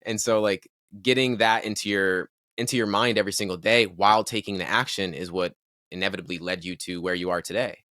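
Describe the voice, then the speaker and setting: A male podcast host with a very low voice that still carries a crisp, forceful edge. He muses out loud, stopping and restarting as he works through ideas, in a dry, close sound. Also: steady tone, dry recording. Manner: reflective, halting with restarts. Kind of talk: casual podcast conversation.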